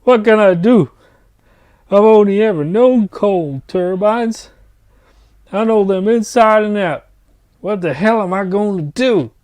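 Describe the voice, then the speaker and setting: A man's voice: high-pitched, a little slow, long pauses, a little mellow. A man playing a grumbling, set-in-his-ways middle-aged engineer and weary husband in an audio drama. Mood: distressed.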